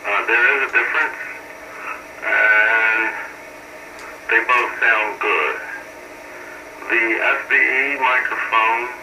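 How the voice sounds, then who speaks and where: A man's voice: mid-range voice, fairly even intonation, slow, long pauses, music in the background. A middle-aged man chatting casually over two-way radio in operator lingo, with an easygoing, folksy manner. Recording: distant, very noisy two-way radio signal.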